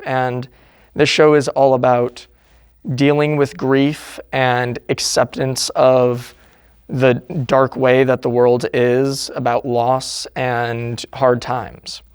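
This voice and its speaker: A man's voice: medium pitch, clear, resonant tone, no room sound, clean studio sound. A man from a theater production giving informal answers in an interview.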